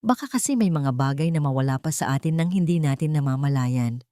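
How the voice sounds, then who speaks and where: A woman's voice: deep voice, expressive, fairly quick, warm tone, no echo. Narrator doing a betrayed young woman in a love story, restrained and pointed, dry sound. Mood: coldly composed. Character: betrayed young woman, controlled, coldly vengeful.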